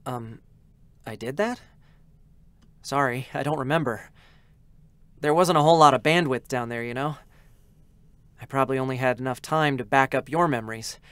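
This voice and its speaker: A man's voice: medium pitch, normal speed, pauses often, fairly bright tone, dry recording, lightly acted. A man voicing a boyish, chatty young male android scout in a dramatic reading, eager, hesitant and openly emotional. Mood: subdued, reflective.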